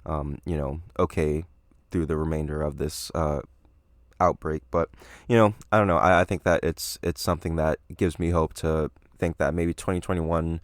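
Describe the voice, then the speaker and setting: A young man with a deep, slightly raspy voice hosts a solo podcast in a loose, meandering way. He hedges often and leans on fillers as he thinks aloud. The sound is dry and close, with no room echo. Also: steady tone, moderate pace. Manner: rambling, hedging, many fillers. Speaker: podcast host. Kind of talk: solo casual podcast monologue.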